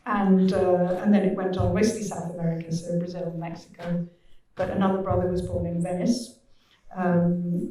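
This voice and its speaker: A woman's voice: on the low side, mellow. Wry woman translator, echoey hall. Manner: wry, anecdotal, many fillers. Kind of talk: panel conversation. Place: hall at a literary event. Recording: echoey hall, far from mic.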